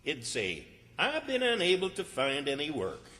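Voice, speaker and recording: Man acting fussy country schemer, wildly swooping pitch, bright voice, radio comedy, echoing hall. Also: huge pitch swings, normal speed, heavily acted. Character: exasperated, scheming rural old-time comedy man. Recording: reverberant hall stage recording.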